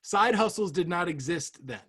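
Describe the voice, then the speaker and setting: A man in his thirties hosts a podcast and tells rambling childhood stories. He laughs often, and his voice sits a little higher than most men's. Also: expressive, normal speed, fairly bright tone, breathy edge. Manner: chatty storyteller, laughs often. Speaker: podcast host. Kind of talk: casual chat.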